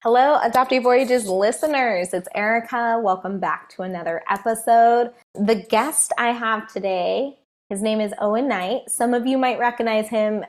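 A woman's voice: fairly high pitch, moderate pace. A woman hosting a podcast interview, upbeat and enthusiastic as she introduces and questions her guest. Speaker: podcast host.

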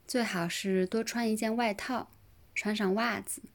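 A woman leading a guided relaxation meditation in a gentle, soothing manner, with pauses between short phrases. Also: medium pitch, fairly even intonation, creaky. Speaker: yoga nidra meditation guide.

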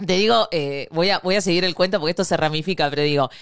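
A woman's voice: on the low side, quick pace, clean tone, dry recording, studio quality. A woman in her thirties with an Argentine accent, co-hosting a podcast in casual chat and acting out quotes as she tells stories. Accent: Argentine Spanish. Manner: animated storyteller, acts out quotes, laughs often. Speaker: podcast co-host.

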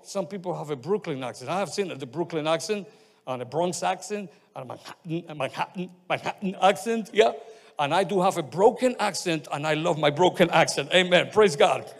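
A man with a heavy Spanish accent preaching a sermon as pastor, speaking haltingly with many restarts, fillers and amens. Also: on the high side, animated. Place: church sanctuary. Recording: long reverb of a church hall.

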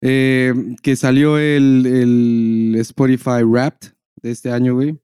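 A Mexican man chats as a podcast host with a soft, relaxed timbre. His talk is loose, unscripted and peppered with swearing and slang. The sound is close and dry, like a studio. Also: medium pitch, slow pace, clean tone, no echo. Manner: slangy, profane. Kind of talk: casual unscripted podcast banter.

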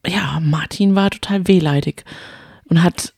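Woman, lower-pitched, soft relaxed tone, dry close sound, colloquial, opinionated podcast chat. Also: a little slow, creaky, husky, no room sound. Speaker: podcast co-host. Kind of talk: casual chat about reality TV.

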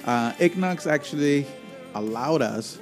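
A man's voice: fairly high pitch, lively intonation, unhurried, soft background music. A man with a Spanish accent hosting a casual podcast from a restaurant, joking and playful, often restarting his sentences. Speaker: podcast host. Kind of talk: casual banter.

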